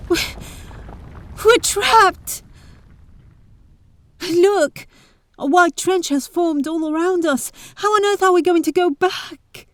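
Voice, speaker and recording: A woman playing a bold, caring but wary adventurer heiress in an audio roleplay, speaking to the listener with breathy asides and hesitant pauses. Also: extremely high pitch, no echo, clean studio sound, heavily acted. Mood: alarmed.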